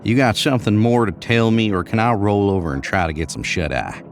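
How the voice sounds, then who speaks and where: A man's voice: on the low side, fairly quick, background noise, pitched above the performer's own voice, lightly acted. A man playing an irritable, skeptical cowboy on the trail, with plainspoken, grumbling frontier talk, in a Western audio story. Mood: irritated.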